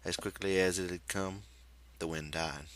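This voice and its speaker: A man reading literary fiction aloud as an audiobook narrator, with measured, deliberate phrasing. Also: sits low, fairly even intonation, a bit bright. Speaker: audiobook reader.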